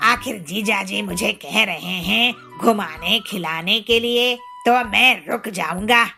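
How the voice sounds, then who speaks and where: A woman voicing a young male cartoon bird, a baffled brother-in-law who sounds gravelly and exasperated and keeps complaining. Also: mid-range voice, slow, music in the background. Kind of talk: cartoon voice acting.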